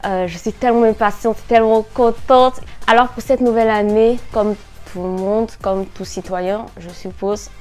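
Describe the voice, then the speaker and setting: A young woman of about nineteen talks to her viewers in a casual vlog style. Her voice sits at a middle pitch, and her delivery is animated and slangy, sprinkled with English words. Faint music plays behind her. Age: 19, nearly twenty. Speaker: vlogger. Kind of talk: casual chat to camera.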